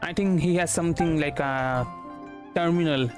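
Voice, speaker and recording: Man, Indian accent, game streamer, casual rambling commentary, lightish pitch, music in background. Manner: halting, rambling.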